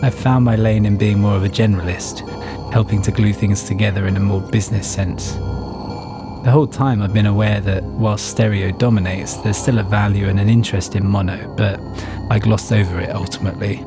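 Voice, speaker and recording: A man's voice: on the low side, fairly even intonation, normal speed. A man giving a scripted first-person narration, telling his own story. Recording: music playing behind the voice. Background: music.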